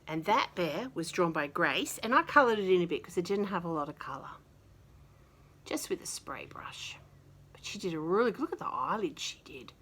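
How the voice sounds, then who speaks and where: A woman reading a picture book aloud to young children in an expressive, dramatic way, with casual chatty asides and some hushed delivery. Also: fairly low pitch, moderate pace, breathy edge. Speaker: children's story reader.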